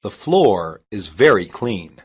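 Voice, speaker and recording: A reader saying short English practice sentences slowly and evenly, each one twice, for a language lesson. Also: deep voice, very animated, slightly rough. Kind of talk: slow repeated phrase reading.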